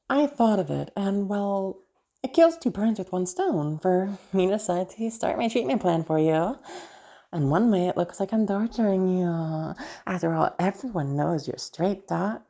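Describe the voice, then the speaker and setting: A woman playing a crazy, seductive female patient in an audio roleplay, teasing and menacing, with halting, drawn-out phrases that drop toward a hush. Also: on the low side. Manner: teasing, halting, drawn-out words with sudden hushed drops. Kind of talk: audio roleplay monologue addressed to listener.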